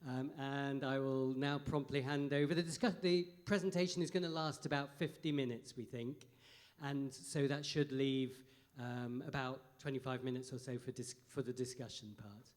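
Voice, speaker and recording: A middle-aged man chairing a conference session into a handheld mic in a large hall, relaxed and chatty with fillers. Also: mid-range voice, moderate pace. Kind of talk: introducing a session.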